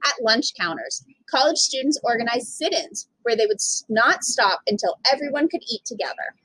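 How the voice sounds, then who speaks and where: Female storytime reader: high-pitched, slightly husky voice, expressive delivery for a children's book. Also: normal speed, no echo, low-quality recording. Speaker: storytime reader, literacy program manager. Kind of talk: expressive children's picture book read-aloud.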